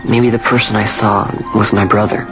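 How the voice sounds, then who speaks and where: A young actor playing an earnest young orphan adventurer who talks plainly and with searching feeling. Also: male voice, fairly low pitch, little pitch movement, normal speed, loud music behind the voice, lightly acted. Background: orchestral score.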